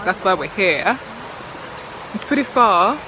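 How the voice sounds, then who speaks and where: A young woman vlogging casually while hiking, talking excitedly into a phone held at arm's length outdoors. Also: mid-range voice. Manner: chatty, excitable, often laughing. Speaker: travel vlogger. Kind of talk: casual vlog narration. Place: outdoors on a mountain hiking trail by a stream. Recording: phone audio with rushing stream noise.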